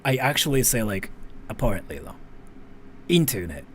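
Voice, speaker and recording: A man from Japan hosting a livestream, chatting casually as he reads viewer comments, animated and defensive, with lots of restarts. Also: fairly low pitch, normal speed, a little hiss or hum. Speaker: livestream host.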